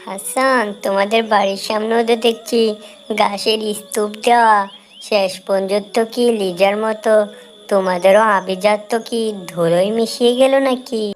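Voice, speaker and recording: A woman plays a proud, well-off child in a children's cartoon. The voice is mid-pitched and delivers scripted moral-tale dialogue, with faint noise behind it. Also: steady tone, normal speed, natural voice. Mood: mocking.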